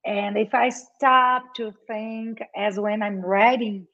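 A woman's voice: mid-range voice, steady tone, takes it slowly. A woman from Brazil, a native Portuguese speaker with a Brazilian accent, chatting casually in English as a guest and laughing often. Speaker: guest, lawyer. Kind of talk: casual conversation. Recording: dull lo-fi sound.

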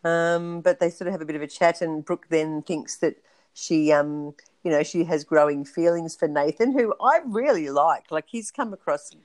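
A woman with a notably low voice talks through a recap in a relaxed, rambling manner, filling pauses with ums. The sound is close and dry. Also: dry recording. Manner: rambling, lots of ums and asides. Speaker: podcast co-host. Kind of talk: casual recap chat.

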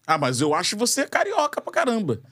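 Lively, chatty male podcast host. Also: sits high, brisk. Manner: chatty, fills with "né?", laughs easily. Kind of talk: casual podcast chat. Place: studio.

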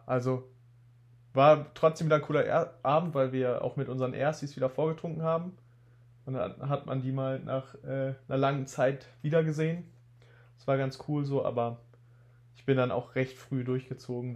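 A young man in his twenties with a slight cold, telling stories in a relaxed, casual podcast chat. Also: medium pitch, normal speed. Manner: relaxed storytelling, laid-back slang. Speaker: podcast co-host.

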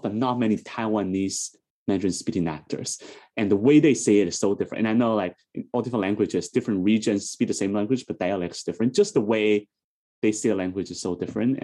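Hesitant man with a low, mellow voice. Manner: hesitant, searching for words. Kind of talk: conversational panel discussion.

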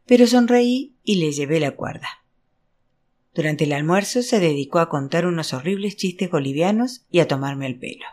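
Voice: mid-range voice, a little mellow. A narrator reading literary fiction aloud as an audiobook, in a scripted storytelling style. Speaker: audiobook reader.

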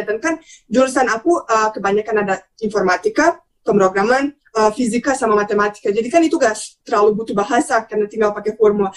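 High, quick-talking young woman. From Bosnia. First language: Bosnian. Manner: talkative, expressive, mixes in English words. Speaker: podcast guest. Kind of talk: casual conversational storytelling.

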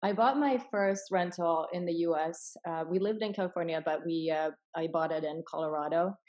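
A woman's voice: lowish voice, fairly quick, darkish tone, highs cut off. A woman from Sweden, born in Finland, who speaks English as a third language, explaining things casually as an interview guest. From Finland-born, raised in Sweden. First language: not English, which is a third language. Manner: informal, acts out quoted dialogue. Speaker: interview guest, property investor.

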